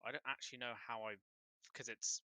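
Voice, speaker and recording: A man with a bright, forward voice chats casually as a player in a tabletop game. His online audio is close and dry, with little room sound. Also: mid-range voice, level tone, fairly quick, smooth, no echo.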